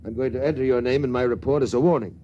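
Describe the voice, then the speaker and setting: A cold, probing ship policeman, voiced by a man in a vintage radio play. The voice is mellow and dark, but the questions come clipped and hard. The sound is dull and lo-fi, with a faint hiss. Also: mid-range voice, steady tone, rapid-fire, close to an everyday voice. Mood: suspicious. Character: stern male ship control policeman, interrogating. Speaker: control police officer. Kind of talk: 1950s radio drama acting.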